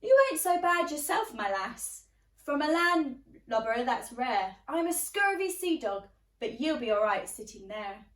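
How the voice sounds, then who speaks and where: A woman's voice: a little high, expressive, unhurried, fairly bright tone. A woman voicing a cheerful, boastful young pirate boy in sing-song rhyming sea-dog talk for a storybook. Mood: breezy, proud. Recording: roomy, distant microphone.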